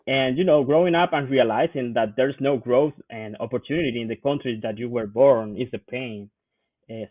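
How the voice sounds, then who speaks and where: A man from Cuba with a Cuban Spanish accent, a non-native English speaker, explaining his background in a measured way as an interview guest. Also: mid-range voice, no echo. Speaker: guest, IT professional. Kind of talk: interview answers. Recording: dry, tinny phone-like line.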